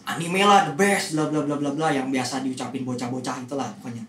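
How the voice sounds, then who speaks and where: A man's voice: mid-range voice, fairly even intonation, brisk, a bit bright. A man speaking as a blunt, opinionated host in a casual podcast discussion. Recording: roomy echo, distant mic, faint noise.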